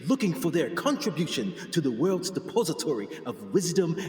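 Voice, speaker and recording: Zealous gentleman explorer for a radio drama, male actor: grandiose, defiant, very animated delivery, strongly echoing room. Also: on the high side, fairly quick, soft background music. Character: proud, zealous male 1920s explorer-scholar. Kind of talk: theatrical radio play acting. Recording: echoey hall with long reverb.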